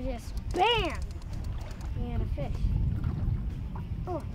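Woman angler, high, slow, chatty, recorded on a boat with noise. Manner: relaxed, chatty commentary while handling fish. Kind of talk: casual on-water commentary. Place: outdoors on a boat on a lake. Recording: noisy outdoor boat audio.

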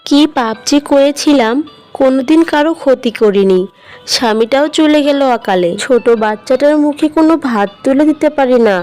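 A woman voicing a poor, kind village mother in a fairy-tale cartoon, gentle and earnest with a sorrowful edge. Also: high voice, moderate pace, faint music behind, lightly acted.

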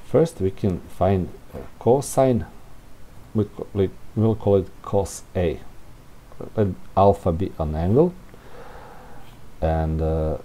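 Low, mellow, slow-talking man thinking aloud. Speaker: presenter of a programming tutorial. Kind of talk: informal unscripted screencast walkthrough of code. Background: room heater hiss.

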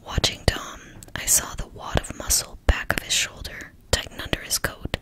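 A woman reading a novel aloud in a steady, hushed whisper. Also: normal speed. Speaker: narrator.

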